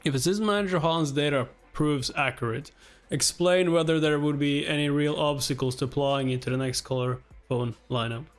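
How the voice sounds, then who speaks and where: A commanding male corporate executive, read aloud by a man, speaks with a slightly high voice. He is formal, measured and coolly decisive, in webtoon boardroom drama. Music plays faintly behind. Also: steady tone, natural voice. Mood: probing, formal.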